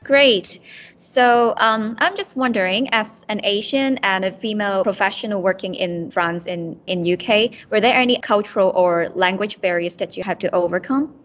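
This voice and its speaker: Young female student host; hesitant, filler-heavy questions; mid pitch, lo-fi recording. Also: moderate pace, a bit bright. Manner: hesitant, uses many fillers like "um" and "like". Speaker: podcast host, student club director. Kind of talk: podcast interview questions. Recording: dull lo-fi with faint music.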